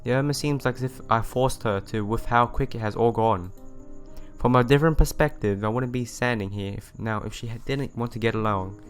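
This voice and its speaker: A man's voice: mid-range voice, a little fast. A man reading a visual novel aloud, with casual asides and some stumbles over words that he goes back over. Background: music playing behind the voice.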